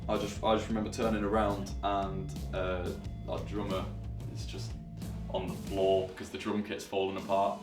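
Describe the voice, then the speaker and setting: A man's voice: low voice, completely flat delivery, normal speed, hardly any pauses. An eighteen-year-old man, telling stories casually in a documentary interview. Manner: casual, rambling storytelling. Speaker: band's lead singer and guitarist. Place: band practice room. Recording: echoey room, far from mic, music behind.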